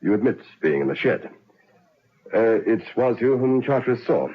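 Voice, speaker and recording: An actor playing a stern, commanding prison governor in a radio drama, brisk and authoritative like a military major. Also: male voice, medium pitch, lively intonation, slightly rough. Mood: firm, interrogating. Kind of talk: old radio drama acting. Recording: dull, noisy lo-fi vintage radio recording.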